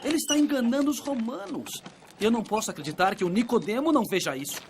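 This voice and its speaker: An actor playing a distrustful, defiant Judean zealot with a scornful, emphatic delivery in an animated Bible drama. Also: male voice, very high-pitched, fast talker, creaky, noisy background, exaggerated voice. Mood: scornful suspicion. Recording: loud music under the voice.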